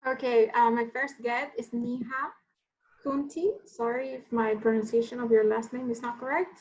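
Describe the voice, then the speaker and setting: A woman's voice: on the high side, fairly even intonation, normal speed, darkish tone, poor audio. A woman politely and carefully introducing guests at a meeting.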